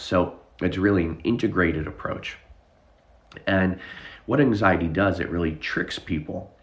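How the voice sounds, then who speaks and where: A man with a very deep voice, stuffed-up and nasal from a lingering chest cough, talks to his live-stream viewers about anxiety as a therapist. He rambles loosely, with many ums and restarts. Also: muffled recording. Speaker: anxiety relief therapist and hypnotist.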